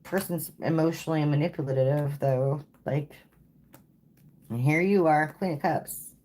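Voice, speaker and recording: A woman's voice: low-pitched, measured pace, warm tone. A woman giving a live tarot reading to viewers, casual and chatty, tired and saying she is losing her voice. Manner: casual, repeats "okay?" and "like". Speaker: tarot reader.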